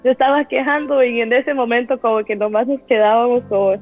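A woman giving a personal faith testimony, earnest and halting, tagging many phrases with a question. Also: on the high side, steady tone. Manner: earnest, halting, keeps adding "¿verdad?". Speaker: church member giving a testimony. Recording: tinny phone-like line with faint music.

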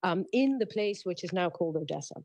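A woman's voice: fairly low pitch, expressive, brisk, darkish tone, a little hiss or hum. A woman explaining an argument as an interview guest, hesitant and searching for words. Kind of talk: explanatory discussion.

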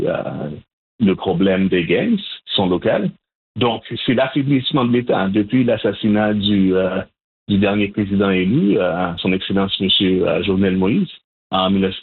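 A man from Montreal, answering interview questions in a measured, hesitant way with frequent restarts. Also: low-pitched. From Montreal, Canada. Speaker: guest, Haitian community organizer. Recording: phone-line audio, husky.

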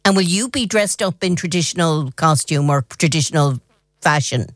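A woman's voice: sits low, expressive, normal speed, no echo, clean studio sound. A woman working as a radio news reporter, presenting a report and asking interview questions.

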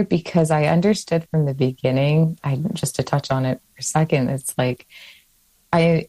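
A woman's voice: sits low, no echo. A woman from Hawaii, an author guest on a radio interview, talking in a chatty way with lots of fillers. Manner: warm, chatty, with many fillers.

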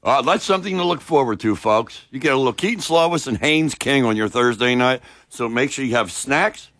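A man's voice: medium pitch, animated, a bit bright, no echo. Male sports radio co-host, rambling banter, close dry mic. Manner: chatty, rambling, quick to laugh.

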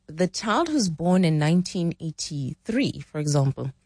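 Guest expert: woman in her 40s from South Africa, low voice, dry close mic, explanatory. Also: big pitch swings, measured pace, darkish tone, slightly rough, no echo. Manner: explanatory, thinks aloud with restarts. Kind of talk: conversational podcast talk.

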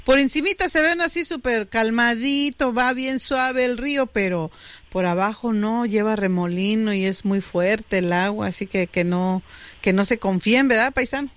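A woman's voice: a little high, moderate pace, no echo. A woman from Oaxaca with a Mexican accent, chatting casually as a live community radio host.

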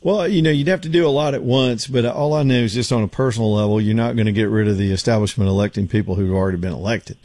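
A man hosting a talk radio show, hoarse and rambling, with lots of fillers and restarts. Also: on the low side, steady tone, fast talker, few pauses, warm tone, no echo. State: getting hoarse. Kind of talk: casual talk radio with live read ads.

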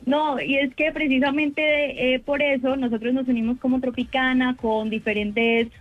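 A woman radio host speaking calmly and reassuringly in an on-air chat, reporting news to her colleagues. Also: sits high, normal speed. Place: radio station. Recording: lo-fi phone-like line.

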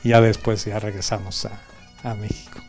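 A man's voice: fairly low pitch, flat delivery, brisk, darkish tone, soft background music. A man from Mexico, director of a bagpipe band, explaining patiently as a guest. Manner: patient and informative, with fillers.

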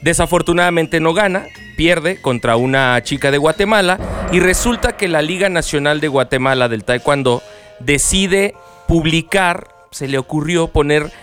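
Lively Mexican man hosting sports chat. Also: fairly high pitch, normal speed, faint music behind. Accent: Mexican Spanish. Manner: lively, jokey, animated storytelling. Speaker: sports show host.

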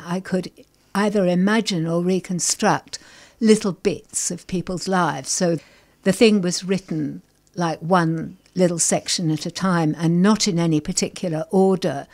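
A middle-aged woman poet from England reads her work and talks about it as a radio guest. Her voice is low with a gravelly, creaky edge. Her delivery is deliberate and careful, with the lines set apart. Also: a little mellow. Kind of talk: poetry reading and interview.

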